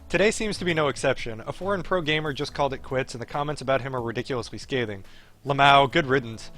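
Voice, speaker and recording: A man streaming a game, reading its text aloud in an expressive, wry way with casual asides. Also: medium pitch, fairly quick, soft background music. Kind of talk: live playthrough, narrating text with casual asides.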